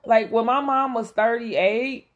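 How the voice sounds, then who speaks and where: A woman's voice: fairly high pitch, steady tone, slow pace, crowd noise. A woman, hosting a frank, profane, laughing chat with friends. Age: 30s. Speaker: host. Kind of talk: casual chat.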